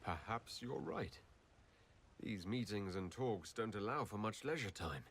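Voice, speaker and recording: An actor playing a composed, gallant male leader in a video game, measured and courteous. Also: fairly low pitch, expressive, a bit bright, a little hiss or hum. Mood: warm, wry. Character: composed, gallant heroic leader, adult man. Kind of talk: video game cutscene dialogue.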